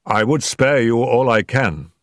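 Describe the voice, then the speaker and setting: A man voicing a calm, incisive male detective who speaks in measured, clipped phrases. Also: medium pitch, no echo, clean studio sound, voice pushed up, close to an everyday voice.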